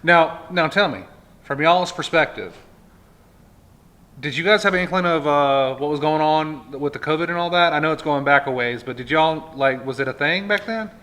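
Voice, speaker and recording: Guy hosting a theatre podcast, bright tense tone, rambles and restarts a lot. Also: on the high side, moderate pace. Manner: rambling, self-interrupting, chatty. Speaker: podcast host. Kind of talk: casual interview chat.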